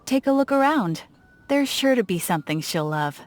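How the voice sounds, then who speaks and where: A woman's voice: on the high side, animated, moderate pace, a little hiss or hum, close to an everyday voice. A woman voicing an eager, effusive female souvenir shopkeeper in a video game. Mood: friendly. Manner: bubbly, effusive saleswoman. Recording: faint game music behind.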